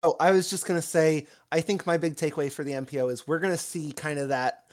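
A man's voice: on the high side, fairly even intonation, fast talker. A man co-hosting a podcast, chatting in an upbeat, agreeable way. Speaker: podcast co-host. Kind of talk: casual chat.

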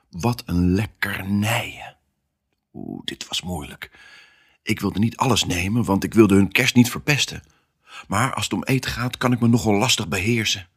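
A male actor voicing an excitable pet dog who tells his own Christmas adventure in an expressive, theatrical family audio drama style. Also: fairly low pitch, moderate pace, slightly rough, full character voice. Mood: playful, secretive. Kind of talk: first-person storytelling in a family audio drama.

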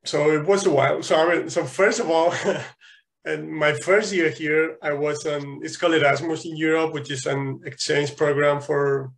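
A man from Spain, telling lively stories as a guest in a casual interview. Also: a little high, normal speed, vocal fry. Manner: animated storytelling, frequent restarts and laughs.

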